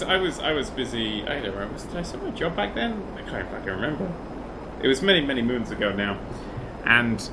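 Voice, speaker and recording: A man's voice: medium pitch, background noise. A man telling stories casually and comically as a livestream co-host, swearing and restarting his phrases. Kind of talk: casual comic storytelling and banter.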